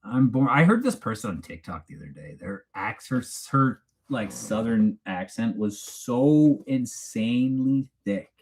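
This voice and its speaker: A man's voice: mid-range voice, expressive, a little slow. A man with a Canadian accent hosting a casual, unscripted livestream chat in a rambling, teasing, informal way. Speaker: livestream host. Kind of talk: casual unscripted chat, reading live comments.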